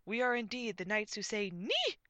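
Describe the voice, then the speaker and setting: A woman voicing a very tall, pompous antlered knight who booms out absurd demands in a comic fantasy story. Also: big pitch swings, unhurried, bright, forceful tone, no room sound, heavily acted. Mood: pompous, commanding. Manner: booming, shrill chanting, declamatory.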